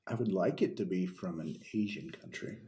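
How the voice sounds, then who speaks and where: A man with a lowish, soft-edged voice chats casually on a podcast. He answers in a dry, laid-back way, and the room around him echoes noticeably. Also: normal speed. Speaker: podcast co-host playing quiz contestant. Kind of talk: casual chat.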